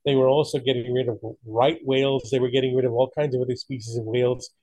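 A man's voice: mid-range voice, flat delivery, fast, mellow, dry recording, low-quality recording. A man in casual conversation, talking in an opinionated, emphatic way. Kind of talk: casual chat.

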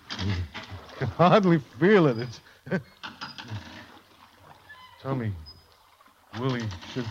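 Noisy old radio, delirious rambling sailor. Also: male voice, medium pitch, highs cut off. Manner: rambling, stammering. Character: delirious, good-natured wounded sailor adrift, rambling and pleading. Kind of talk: 1940s radio acting. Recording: noisy, band-limited old radio recording.

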